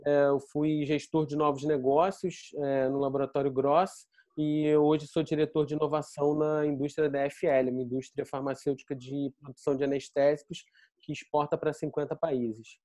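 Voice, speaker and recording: A man's voice: fairly high pitch, level tone, normal speed, dark tone, no room sound. A middle-aged Brazilian man with a Carioca accent, giving a conversational self-introduction as a panel guest. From Rio de Janeiro, Brazil. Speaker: panel guest, scientist and pharmaceutical innovation director.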